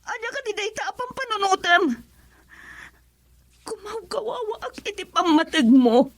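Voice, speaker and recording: A woman's voice: extremely high pitch, sing-song, normal speed, no room sound, exaggerated voice. A woman playing a young wife who is hurt and pleading with her husband in a radio drama.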